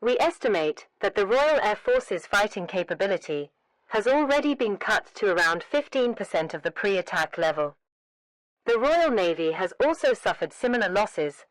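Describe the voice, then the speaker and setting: Lady doing an emergency alert announcement, solemn and formal, mid pitch, really dry sound. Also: sing-song, normal speed, no echo, rough recording.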